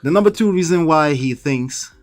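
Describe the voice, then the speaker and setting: A man's voice: a little high, expressive, moderate pace, soft background music. A man with a thick Nigerian accent hosts a live stream, making emphatic, lecturing points and often checking in with "okay?" and "right?". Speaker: livestream host.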